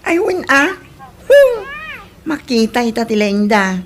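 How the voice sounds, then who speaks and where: A woman's voice: on the high side, big pitch swings, unhurried, a little hiss or hum. A woman acting a gossipy villager in an Ilocano radio comedy drama. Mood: gossiping.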